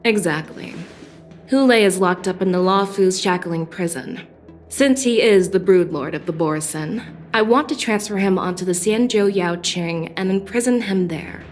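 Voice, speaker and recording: A woman's voice: medium pitch, lightly acted. Composed, decisive woman general, voiced by an actress. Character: composed, decisive female general who trusts her instincts. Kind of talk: English game voice acting.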